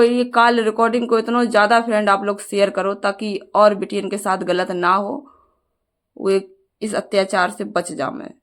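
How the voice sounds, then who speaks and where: A young woman, speaking in an earnest, aggrieved way as she makes a personal appeal to her viewers. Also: mid-range voice. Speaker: vlogger.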